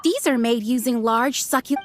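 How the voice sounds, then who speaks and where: A woman's voice: a little high, normal speed, a little hiss or hum, lightly acted. A woman voicing a polite, eager young restaurant waitress in a video game. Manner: polite, service-minded. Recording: game music behind.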